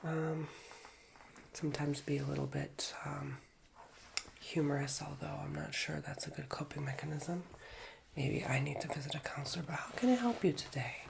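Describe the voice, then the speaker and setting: A man's voice: on the high side, normal speed, vocal fry, background noise. A man with a nasal voice, whispering an ASMR roleplay as a chatty, rambling school guidance counselor while getting over a cold. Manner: chatty, rambling, self-mocking. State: recovering from a cold.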